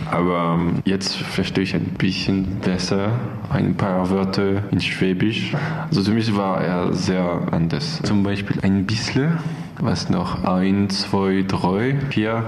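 A man's voice: sits low, fairly even intonation, a little slow, a little hiss or hum. A young man with a French accent answering interview questions in a non-native language, searching for words in simple phrases. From France. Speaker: interviewee, European volunteer. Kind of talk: conversational interview answers in learner's German.

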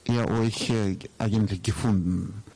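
A man's voice: fairly low pitch, fairly even intonation, a little slow, dark tone, low-quality recording. A man interviewing guests, putting casual questions in a hesitant way.

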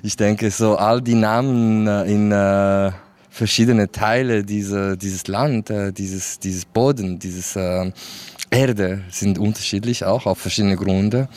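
A man's voice: fairly low pitch, fairly even intonation, slow pace, darkish tone, no room sound. A man, talking as a guest in a casual, hesitant way with many fillers and laughs. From Kurdish region of Iran. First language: Kurdish. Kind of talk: casual interview talk.

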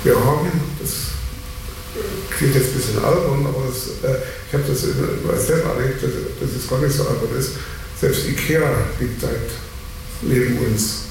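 A man from Saxony speaks off the cuff, rephrasing as he goes. His mid-pitched voice is gravelly, heard in a strongly reverberant room. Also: normal speed. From Schkeuditz, Saxony, Germany. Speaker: interviewee, local resident. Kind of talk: spontaneous interview talk. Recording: very echoey, distant mic, clear noise.

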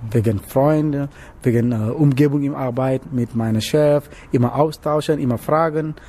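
A young man from Senegal who learned German as an adult, answering interview questions about his cooking apprenticeship, often pausing to search for words. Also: medium pitch, mellow. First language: not German. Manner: halting, searching for words with many hesitations. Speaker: interviewee, trainee cook. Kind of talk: casual interview.

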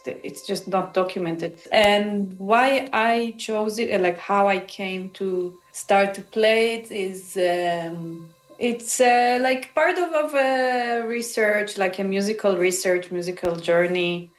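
A woman's voice: mid-range voice, slow, few pauses, echoey room, soft background music. Israeli-born woman musician, hesitant speech. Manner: thoughtful, hesitant, with many restarts. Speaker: musician guest. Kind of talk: interview answers.

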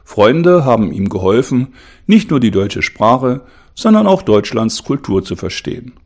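A man narrating a radio report, reading a script calmly and evenly. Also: medium pitch, unhurried. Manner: calm, even reading.

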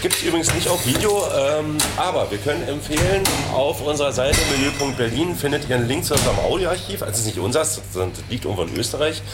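A man's voice: fairly high pitch, animated, quick pace, hardly any pauses, loud music behind the voice. A middle-aged man hosting a casual, chatty interview show. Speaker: show host. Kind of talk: casual bar interview and chat. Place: inside a bar. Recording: loud clattering bar noise.

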